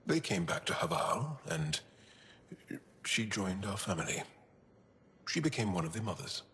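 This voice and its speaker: A solemn alien warrior from a space game, with a very low voice that swings widely in melody. He speaks slowly and haltingly, tender and weighed down by grief, with music underneath. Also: big pitch swings, a bit bright, exaggerated voice. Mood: sorrowful. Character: grave, grieving alien resistance fighter, male, thoughtful and tender. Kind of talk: video game cutscene acting.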